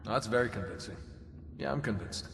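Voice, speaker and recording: Wisecracking young reluctant hero. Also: male voice, fairly low pitch, animated, a little fast, creaky, slight background noise. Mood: dry, teasing. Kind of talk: video game cutscene voice acting. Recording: loud game soundtrack under the voice.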